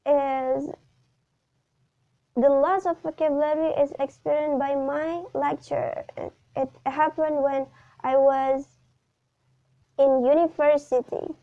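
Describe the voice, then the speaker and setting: A young Indonesian woman with an Indonesian accent, speaking English haltingly in a class video, pausing often with fillers. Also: high voice. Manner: hesitant, many pauses and fillers. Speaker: student. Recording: dull lo-fi recording.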